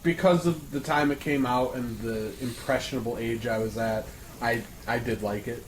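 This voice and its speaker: A man's voice: mid-range voice, steady tone, normal speed. A man chatting casually about films with a group, often repeating and rephrasing himself as he goes. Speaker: podcast panelist. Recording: roomy echo, background noise.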